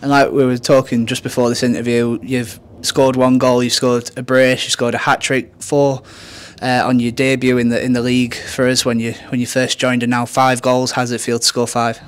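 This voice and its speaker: Male sports interviewer, medium pitch, asking conversational post-match questions for a football club. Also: little pitch movement, normal speed, clean tone. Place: indoors, off camera.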